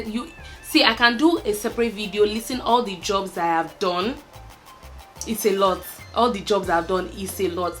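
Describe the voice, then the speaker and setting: A woman's voice: medium pitch, fairly bright tone. Chatty young Nigerian woman vlogging. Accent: Nigerian, Lagos. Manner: chatty, candid, laughs often, trails off with fillers. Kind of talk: casual chat to camera, answering a tag list.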